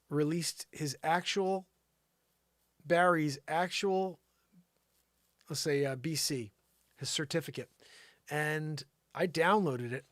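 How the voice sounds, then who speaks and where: A man's voice: a little high, a bit bright, no echo, clean studio sound. A man hosting a live show with casual, slangy, rambling comedic commentary, often thinking aloud. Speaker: live variety show host.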